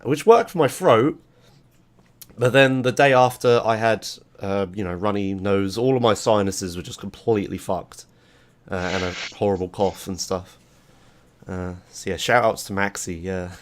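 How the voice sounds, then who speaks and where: A hoarse man in casual podcast chat, his voice sitting fairly low and rough from a lingering sore throat. He rambles loosely and drops casual swears. He talks the way you do with friends, with no script. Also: moderate pace. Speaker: podcast host.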